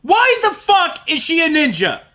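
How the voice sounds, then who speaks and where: Animated, sarcastic male reviewer. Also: very high-pitched, unhurried, soft background music. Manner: sarcastic, exasperated, profane. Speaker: host of an anime review show. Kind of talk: scripted comedic review narration.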